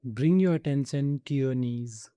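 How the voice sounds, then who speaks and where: Very dark, soft-toned man guiding meditation. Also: mid-range voice, clean tone, dry recording, studio quality. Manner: soothing, gentle instruction with measured pauses. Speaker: meditation guide. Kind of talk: guided body scan meditation.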